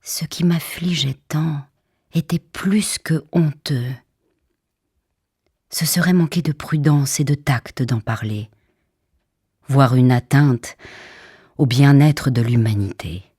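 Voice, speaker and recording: A woman reciting poetry for an audiobook in a solemn, measured manner, with a husky, breathy voice and deliberate phrasing. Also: a bass voice, dark tone. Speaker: poetry reader. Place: radio theatre recording studio.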